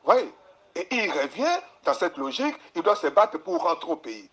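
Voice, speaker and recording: A man's voice: a little high, big pitch swings, moderate pace, fairly bright tone. A man from DR Congo, a guest analyst giving long explanations and often repeating words as he searches for them. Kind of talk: explanatory interview talk.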